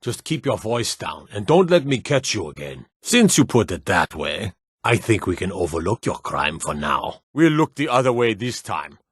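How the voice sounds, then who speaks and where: A stern city guard from a fantasy video game, with a low, slightly husky voice. He is gruff and authoritative, handing out clipped threats like a weary lawman who could be bought. The recording is dry and close. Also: very animated, normal speed, no room sound. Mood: stern, grudging. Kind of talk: scripted fantasy game voice lines.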